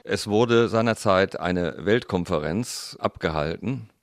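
A man interpreting an interview into German as a voice-over, speaking slowly and deliberately. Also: low voice. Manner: slow, deliberate, careful phrasing.

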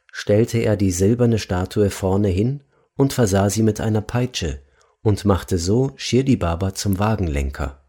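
Male narrator, lowish mellow voice, steady measured read-aloud delivery. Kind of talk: reading a devotional book aloud.

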